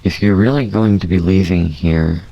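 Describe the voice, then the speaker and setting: A man's voice: low-pitched, moderate pace, gravelly, dark tone, dull audio. A man playing an emotional, flamboyant, doting caregiver in an audio drama, tender and coaxing. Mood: tender, worried.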